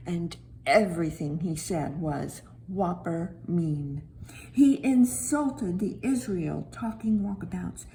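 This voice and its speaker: A small talking stone played by a woman narrates a children's storybook in a slow, wide-eyed way. The delivery is dramatic and tender, leaning on hushed words and repeated emphasis, with noticeable noise behind the voice. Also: lowish voice. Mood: sad.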